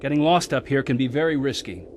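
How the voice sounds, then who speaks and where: A man's voice: medium pitch, quick pace, lightly acted. An actor playing a confident ski instructor and adventurer who tells his own life story in a radio drama. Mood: urgent, commanding. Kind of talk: radio drama acting and first-person narration.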